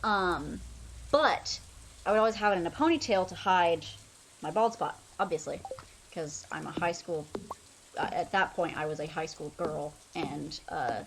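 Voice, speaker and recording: Slow, rambling young woman streamer. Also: fairly low pitch, vocal fry, noisy background. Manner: rambling, loose, full of fillers. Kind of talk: casual chat while playing a game.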